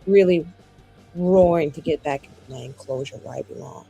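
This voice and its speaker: A woman voicing a sardonic, defiant young mage in a tabletop game, husky and drawling, with a dismissive, sarcastic manner. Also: deep voice, moderate pace, mellow, exaggerated voice, rough recording. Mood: bitterly sarcastic. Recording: music behind the voice.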